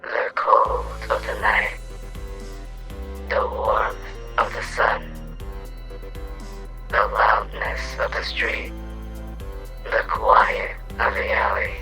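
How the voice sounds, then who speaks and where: A man playing an emotionless, cryptic enigma in an audio drama, speaking flatly and ominously in a gravelly whisper. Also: on the low side, faint music behind, full character voice. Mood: blank, detached. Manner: flat, slow, ominous. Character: emotionless, cryptic enigma, a nameless void of nothingness. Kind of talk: audio drama character acting.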